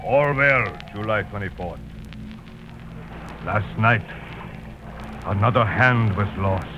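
An actor playing a grave, steadfast ship's captain who reads a doomed log in clipped, solemn 1930s radio drama style. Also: male voice, fairly low pitch, slow. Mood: grave, uneasy. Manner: solemn, clipped, telegraphic delivery. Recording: noisy, muffled old radio drama recording.

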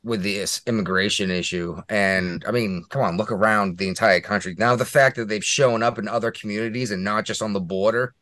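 A man's voice: lowish voice, brisk, hardly any pauses, a bit bright, dry recording. Male co-host, casual and crude humor, dry close recording. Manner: crude, joking, laughs at his own jokes. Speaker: podcast co-host. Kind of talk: casual political chat.

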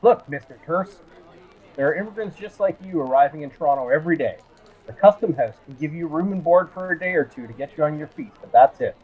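Firm landlord, radio drama, husky, higher pitch, tinny narrow-band sound. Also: male voice, lively intonation, a little fast. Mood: firm, impatient. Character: Mister Mitchell, firm, unyielding landlord.

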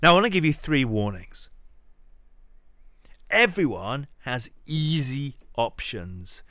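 A man giving a dramatic reading of a sermon, earnest and deliberate, with pauses for emphasis. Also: medium pitch, fairly bright tone, no echo, clean studio sound. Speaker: podcast host reading scripture aloud.

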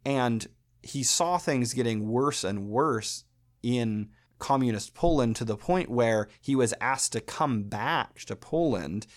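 An American man hosting a podcast, talking through a subject in a thoughtful, measured way with pauses. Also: medium pitch. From United States. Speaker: podcast host. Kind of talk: explanatory podcast talk.

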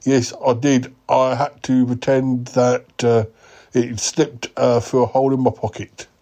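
A man voicing a clueless male yeti uncle who talks slowly and hesitantly. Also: flat delivery, mellow. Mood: bemused. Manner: slow, hesitant, wandering speech with a higher voice.

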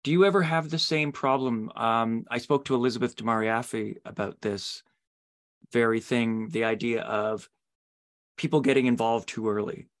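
A man's voice: mid-range voice, normal speed. A man hosting a conversational podcast interview, phrasing his thoughts in hesitant, broken-up pieces.

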